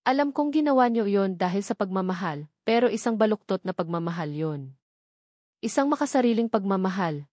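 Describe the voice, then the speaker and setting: A woman's voice: mid-range voice, lively intonation, fairly quick, darkish tone, no echo, close to an everyday voice. Casting: woman reading as resolute, pleading young man in romantic drama narration, dry sound. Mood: resolute, hurt. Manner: firm, emphatic, pleading. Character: earnest, pleading young man standing up to his mother.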